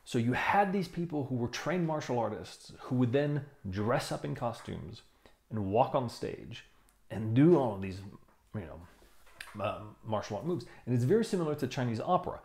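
A man in his fifties giving a casual explanation to camera, thoughtful and halting, stressing key words. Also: medium pitch, expressive, darkish tone. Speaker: tai chi teacher reviewing a book. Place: small room indoors. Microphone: lapel mic.

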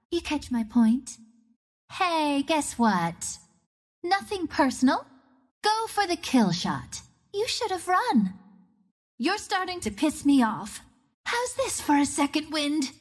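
A woman's voice: high-pitched, full character voice. A woman voicing a cocky, playful young archer of the wind in a video game, with a confident, teasing manner. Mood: brisk, then taunting. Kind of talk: video game character voice lines.

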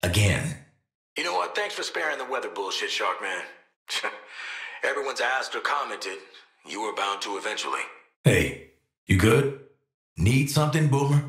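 A man's voice: on the low side, sing-song, moderate pace, pauses often, fairly bright tone, roomy sound. An actor with an American accent playing a cocky, world-weary assassin antihero in a video game, wry, blunt and streetwise in his delivery. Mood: impatient, wry. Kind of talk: scripted video game voice lines.

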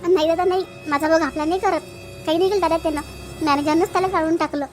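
A woman's voice: very high voice, fairly even intonation, quick pace, soft background music. A woman voicing an anxious, pleading young sister-in-law in a cartoon family drama, with a Vidarbha accent. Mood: worried, pleading. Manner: pleading, insistent.

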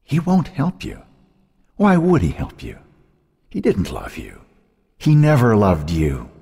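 A venomous ghost of a woman, acted out by a male narrator, taunting and relentless. The voice is lifted high and swings in pitch, with a slightly husky edge, in audiobook style. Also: wildly swooping pitch, takes it slowly, warm tone, big-room sound, full character voice. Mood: mocking. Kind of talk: audiobook character voice.

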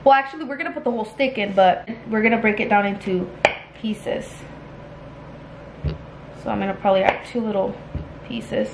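A woman's voice: mid-range voice, normal speed, pauses often. A young woman chatting informally to viewers as she gives home baking instructions in a casual, unpolished way. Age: young adult. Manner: informal, chatty, occasionally crude. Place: small home kitchen, indoors. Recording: clearly noisy home kitchen audio with clicks.

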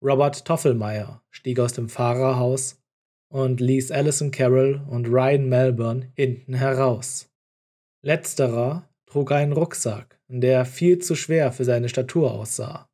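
Mellow male audiobook narrator. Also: medium pitch, steady tone, a little slow. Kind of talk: scripted story narration.

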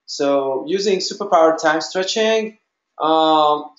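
A man giving a technical explanation in a webinar as a developer, with halting, hesitant phrasing. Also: fairly high pitch. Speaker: software developer presenter. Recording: dull, roomy webinar audio.

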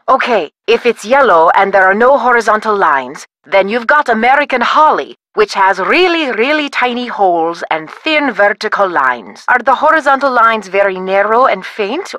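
A woman's voice: medium pitch, pushed and bright, dry recording. A woman playing a wood lab scientist in a video game, asking methodical questions over the phone with a dry joking manner.

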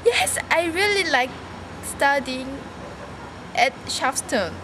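A woman's voice: high voice, lively intonation, slow pace, noisy background. A young woman from South Korea with a Korean accent, answering interview questions in simple English and giggling often, recorded outdoors on a handheld camera. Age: young adult. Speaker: language school student. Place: outdoors by a building. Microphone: handheld camera up close.

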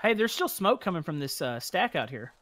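A man's voice: on the high side, sing-song, a little fast, fairly bright tone. A man in casual multiplayer gaming chat, giving his group directions over game vehicle noise. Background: game vehicle sounds.

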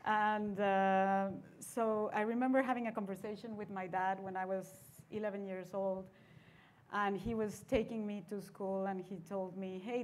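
A woman's voice: mid-range voice, a little mellow. A woman from Mexico tells her story on a conference panel, seated with a lapel mic. She talks in a chatty, easygoing way, slipping small laughs between phrases. A faint ambient hum runs underneath. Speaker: venture capitalist panelist. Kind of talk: panel discussion. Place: conference stage seated in armchair.

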